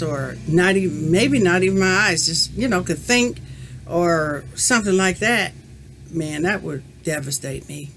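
A woman's voice: on the low side, slight background noise. A woman in her fifties answering tag questions in a casual, rambling, reflective way, talking to a webcam in a small room at home. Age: 50s. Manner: rambling and reflective, many "you know" fillers. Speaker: crochet vlogger answering a tag. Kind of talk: casual chat to camera. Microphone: webcam at desk distance.

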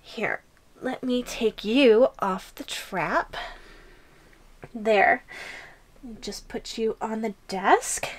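A woman playing a sadistic, obsessively jealous giantess in an ASMR audio roleplay, gloating and teasing. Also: on the high side. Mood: menacing, teasing. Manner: gloating, teasing, drawn-out delivery.

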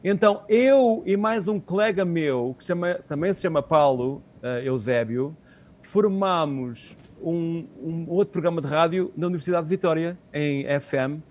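A middle-aged man with a European Portuguese accent speaks as an interview guest over a video call from home. His voice sits a little high, and he searches for words, often repeating a phrase before carrying on conversationally. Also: normal speed, darkish tone. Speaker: interview guest, community radio co-founder. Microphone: earphone headset on video call.

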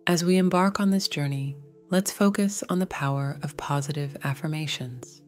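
A woman narrating a scripted guided meditation in a gentle, soothing, measured way. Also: low-pitched, faint music behind.

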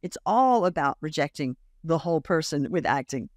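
A woman in her fifties, raised in Australia, telling stories as a podcast guest, lively and acting out the voices of others. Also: low voice, moderate pace, no room sound, clean studio sound. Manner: animated storyteller, acts out quoted voices, laughs often. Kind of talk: casual interview storytelling. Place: home room with bookshelf. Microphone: large studio mic on boom arm, video call.

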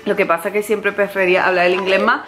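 A woman's voice: mid-range voice, little pitch movement, a bit bright. A woman in her thirties talking casually to the camera at home as a mom vlogger, filming herself up close with a handheld camera. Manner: quizzing her toddler in slow, drawn-out questions. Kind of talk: casual home vlog chat. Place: living room at home. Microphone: handheld camera close to face.

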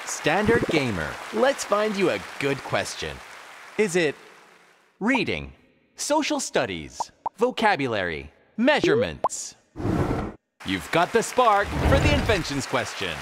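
A man voicing an upbeat cartoon quiz show host in a video game, with a theatrical, drawn-out announcer delivery. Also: a little high, huge pitch swings. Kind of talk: scripted game show hosting. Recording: reverberant studio with crowd noise.

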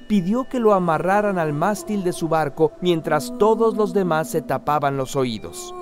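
A young adult man giving scripted educational narration in a lively, clear storytelling way. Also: fairly high pitch.

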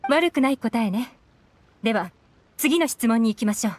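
An actress playing a composed, shrewd investigator in a Japanese video game, assured and direct. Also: female voice, fairly high pitch, expressive, normal speed, darkish tone, a little hiss or hum, lightly acted. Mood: cool, composed.

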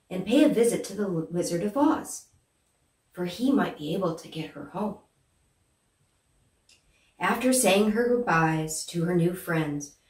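A young woman playing a gracious good witch, telling a children's story in an expressive, deliberate way. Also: fairly low pitch, lightly acted. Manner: animated, deliberate, addressing small children. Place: small plain room indoors. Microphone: far camera. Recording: roomy, distant microphone.

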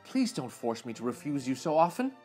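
A man voicing a kind, honest young woman in a storybook, soft and earnest as he pleads. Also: medium pitch, sing-song, fairly quick, husky, faint music behind, voice pushed down. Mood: gentle refusal.

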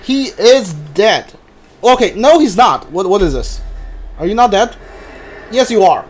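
A man streaming a game with casual, halting commentary, often repeating himself. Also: sits high. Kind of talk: casual live playthrough commentary. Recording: steady background noise.

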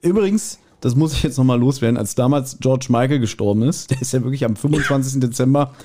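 A man's voice: medium pitch, quick pace, mellow. A man, a podcast co-host chatting casually. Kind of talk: casual chat.

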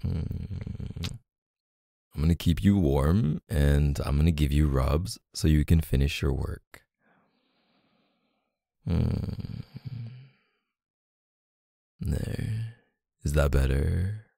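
Man voicing a playful doting boyfriend, ASMR roleplay, dark mellow soft voice, teasing murmurs, close dry sound. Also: no echo, full character voice. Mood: tender, coaxing. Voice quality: low, gravelly, soft. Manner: teasing, murmuring, hesitant pauses and hums. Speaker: audio roleplay performer.

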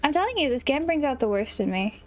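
A woman commentating a horror game, sniffly from allergies and shrieking excitedly at enemies. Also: sits high, quick pace, a little hiss or hum. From South Africa. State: sniffly, stuffy nose from allergies. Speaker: gaming YouTuber. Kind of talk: let's play commentary.

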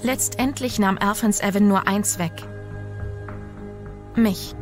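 A young murder victim tells her own story from beyond death, voiced by an actress. It is scripted true-crime narration, somber, at a medium pitch, with music clearly playing underneath. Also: slow pace, natural voice. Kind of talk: scripted true-crime voice-over, German dub.

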